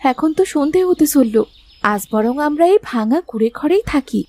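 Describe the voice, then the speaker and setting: A woman voicing a gentle, protective elder sister in a cartoon, soothing and caring in manner. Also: sits high, animated, normal speed, no echo. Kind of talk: Bengali cartoon voice acting.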